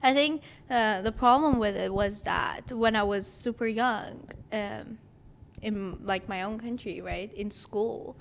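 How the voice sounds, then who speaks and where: A woman's voice: mid-range voice, slow, vocal fry, a little hiss or hum. Young woman with a Persian-Greek accent. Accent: mixed Persian and Greek. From Iran. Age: 20s. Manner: animated storyteller who acts out others' words; laughs often. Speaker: guest. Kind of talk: casual interview chat.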